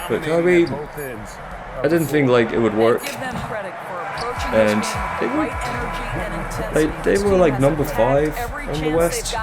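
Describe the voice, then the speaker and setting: A man's voice: on the high side, lively intonation, takes it slowly, long pauses, over loud music. A man chatting casually as a gaming host, talking through basketball in a rambling, hesitant way. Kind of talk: casual chat commentary over basketball game. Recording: loud crowd roar behind the voice. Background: basketball video game crowd noise.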